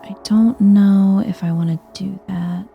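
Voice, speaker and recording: A soft-spoken woman giving calm, casual let's play commentary in an ASMR vibe, musing aloud as she plays. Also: mid-range voice, unhurried, gravelly, muffled recording, faint music behind. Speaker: video game streamer, let's player.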